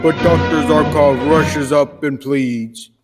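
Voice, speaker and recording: Music plays behind a man narrating an old-time radio adventure serial. His voice is on the high side for a man, and he tells the story dramatically from a script. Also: steady tone, takes it slowly.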